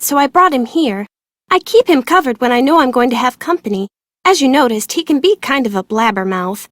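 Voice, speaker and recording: A woman's voice: sits high, dry recording, studio quality. An actress playing a glum, guarded young woman in a video game, plain-spoken and self-deprecating. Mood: resigned, candid. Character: withdrawn, glum young woman haunted by childhood loss.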